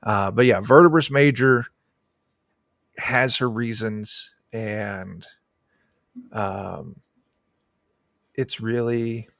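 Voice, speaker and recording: A man speaking as a game designer in a casual interview over a video call, pausing to search for words. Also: on the low side, gravelly, a bit bright, dry recording, clean studio sound.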